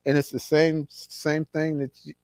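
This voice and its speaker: A man's voice: fairly high pitch, level tone, a little slow, darkish tone, clear, resonant tone, no room sound. A man in his sixties, from California, reminiscing as an interview guest in a rambling way, with many restarts. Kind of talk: casual conversation.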